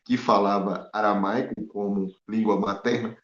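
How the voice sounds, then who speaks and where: A man preaching a Bible study sermon, animated and full of rhetorical questions to his listeners. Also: on the low side, normal speed. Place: in Spain. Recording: echoey, dull lo-fi room sound.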